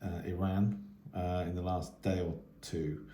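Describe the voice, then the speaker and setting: Low-voiced man in an echoey room. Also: flat delivery, a little slow, warm tone. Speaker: radio hobby YouTuber. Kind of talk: casual news update to camera, unscripted. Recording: echoey, roomy sound.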